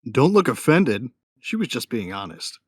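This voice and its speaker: A man's voice: mid-range voice, sing-song, normal speed, breathy edge, no room sound, clean studio sound. A man playing a cocky, wry bounty hunter who narrates his own story in an audio drama. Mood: wry, confident. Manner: wry, self-satisfied. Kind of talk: first-person dramatic narration.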